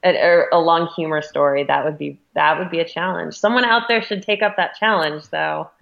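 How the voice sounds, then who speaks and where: A woman with a fairly low voice chats casually in an interview. She speaks informally and works out her thoughts as she talks. The recording is a little dull and lo-fi. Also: a little fast, gravelly. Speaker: magazine editor being interviewed.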